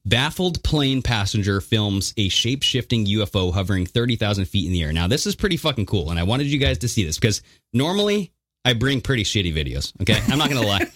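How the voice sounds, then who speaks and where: A man's voice: lowish voice, big pitch swings, fast talker, fairly bright tone, dry recording, clean studio sound. A man hosting a podcast, telling stories in loose casual chat, swearing and laughing often. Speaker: podcast host.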